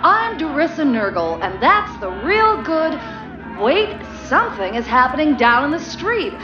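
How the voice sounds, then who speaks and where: Excitable news reporter, very high, swooping, breathless, echoey TV sound. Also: female voice, a little slow, music in the background. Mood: alarmed. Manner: breathless broadcast urgency. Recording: echoey, muffled TV broadcast sound.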